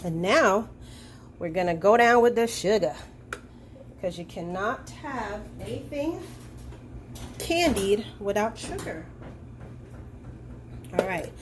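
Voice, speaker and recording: A woman's voice: medium pitch, noisy background. A woman private chef, sounding nasal from a head cold, chatting casually with lots of ums as she walks through a recipe in her kitchen on a handheld camera. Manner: chatty, relaxed, many ums. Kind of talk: home cooking demonstration. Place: home kitchen. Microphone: handheld phone camera.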